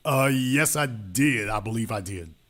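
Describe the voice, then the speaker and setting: A man's voice: mid-range voice, expressive, a little slow, a bit bright, slight background noise. A man hosting a live call-in radio show, talking in a blunt, emphatic, slangy way.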